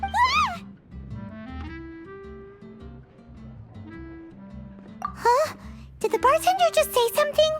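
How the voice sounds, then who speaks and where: A tiny, nosy fairy sidekick from a video game. The voice is extremely high, squeaky and childlike, with bouncy intonation and an unhurried pace. Music plays clearly behind it. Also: female voice, sing-song. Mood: startled. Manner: exclamatory, refers to self in third person. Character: small chirpy childlike fairy companion girl, excitable and nosy.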